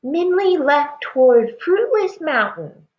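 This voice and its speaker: Woman voicing a high-pitched, earnest little boy. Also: very animated, slow pace, reverberant, highs cut off, full character voice. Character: small, earnest, helpful village boy.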